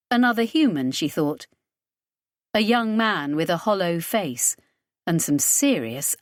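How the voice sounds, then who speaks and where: A woman's voice: on the low side, no echo, studio quality. A woman reading scripted fiction as an audiobook narrator.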